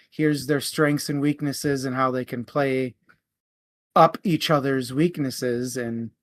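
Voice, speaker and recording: A man's voice: mid-range voice, a bit bright, dry recording. A man co-hosting a book discussion show in a casual, conversational way.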